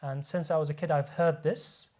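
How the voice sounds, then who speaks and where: A man's voice: fairly high pitch, fairly even intonation, normal speed. Earnest man explaining at length, often restarting. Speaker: guest speaker, medical doctor. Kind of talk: explaining religion in a studio discussion.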